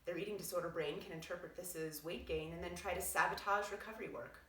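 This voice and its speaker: A woman reading aloud as a doctor explaining things in a measured, articulate, explanatory way. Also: on the low side, fairly even intonation, fairly bright tone. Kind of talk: read-aloud narration with brief asides. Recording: roomy echo, distant from mic.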